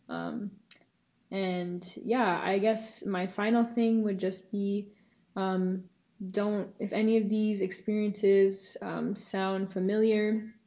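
A young woman speaks as a guest on a podcast, earnest and thoughtful, pausing on fillers. Her voice is mellow and fairly flat in pitch. The recording is dull and lo-fi. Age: young adult. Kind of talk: casual podcast interview.

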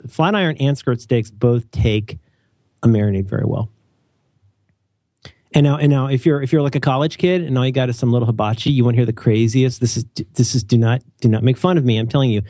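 A man hosting a casual chat, animated and opinionated, joking often and restarting his sentences. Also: medium pitch, no echo, studio quality. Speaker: podcast host.

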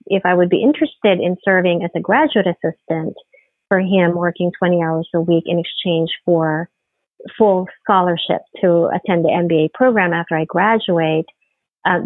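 Woman, middle-aged, from the Philippines, podcast guest, halting, lowish voice. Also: fairly even intonation, no room sound. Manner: halting, many fillers and restarts. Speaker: guest, firm CEO. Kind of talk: podcast interview. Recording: tinny phone-like line.

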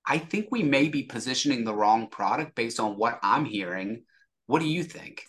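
A man's voice: mid-range voice, animated, normal speed, a bit bright. A man speaking as an interview guest, a sales executive explaining things casually and acting out example dialogue.

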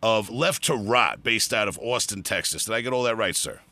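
A man's voice: lowish voice, expressive, normal speed, bright, forceful tone, no room sound. A man hosting a podcast, asking questions in a friendly, chatty way. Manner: friendly, chatty, laughs easily. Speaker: podcast host. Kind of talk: casual interview.

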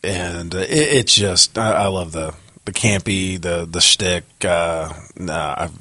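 A man co-hosting a casual podcast, hoarse from a cold, rambling with many fillers and restarts. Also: low voice, slow pace, bright, forceful tone. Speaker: podcast co-host. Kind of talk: casual chat. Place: office.

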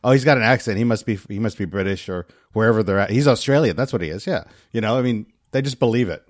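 A man's voice: lowish voice, fast talker, no room sound, clean studio sound. A man chatting casually in a podcast conversation. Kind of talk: casual chat.

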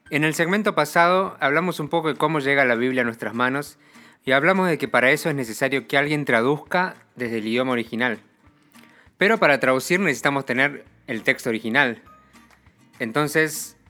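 Casting: male host, bright voice, friendly teacher tone, faint music behind. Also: mid-range voice. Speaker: podcast host. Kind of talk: scripted explainer monologue.

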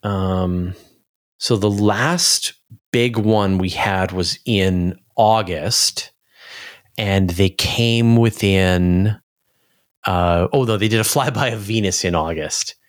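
A man's voice: low-pitched, takes it slowly. A man speaking as an interview guest, explaining in a casual, enthusiastic way and thinking aloud as he goes. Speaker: interview guest, space commentator.

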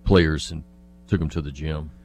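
A man co-hosting a casual radio talk show, speaking in a halting, matter-of-fact way. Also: a bass voice, steady tone, slightly rough.

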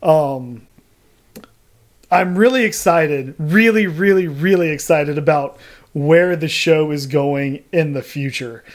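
A man hosting a podcast who talks in a halting way and laughs between phrases. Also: fairly high pitch. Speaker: podcast host. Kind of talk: casual podcast chat.

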